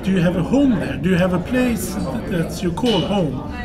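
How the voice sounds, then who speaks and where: A man's voice: high-pitched, sing-song, normal speed, crowd noise. A man interviewing a guest in a casual chat, asking short questions and adding encouraging interjections.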